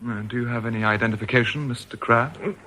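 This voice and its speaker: An actor in a radio drama playing a polite, officious and cautious store manager. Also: male voice, mid-range voice, fast, pushed and bright, a little hiss or hum, lightly acted. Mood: formal, guarded.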